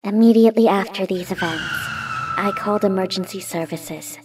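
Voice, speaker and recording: A woman voicing a weary, defiant young female medic in a comic dub, terse and flat-toned. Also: mid-range voice, moderate pace, noisy background, close to an everyday voice. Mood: weary narration.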